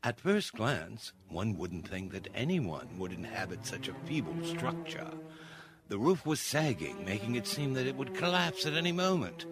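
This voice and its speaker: A man narrates a scripted fairy tale in a theatrical storytelling style. His mid-range voice rises and falls widely. Loud music runs underneath his words. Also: medium pitch, very animated, normal speed.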